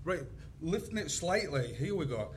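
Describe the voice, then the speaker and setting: A man's voice: a little high, big pitch swings, normal speed, a little hiss or hum. A man moderating a casual panel discussion, speaking in an informal, joking manner. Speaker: panel moderator and crime writer.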